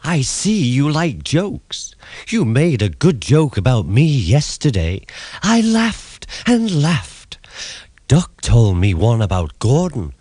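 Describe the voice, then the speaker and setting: A man's voice: mid-range voice, wildly swooping pitch, slow pace, darkish tone, no echo, pitched above the performer's own voice, exaggerated voice. A man voicing a boastful, scheming diesel engine for a children's storybook, pompous and indignant. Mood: sly.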